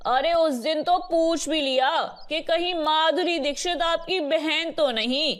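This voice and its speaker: Woman playing slow, high-voiced vain mother-in-law. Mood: vain one-upping. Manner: boastful, sarcastic, stubborn. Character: vain, competitive middle-aged mother-in-law, teasing and stubborn.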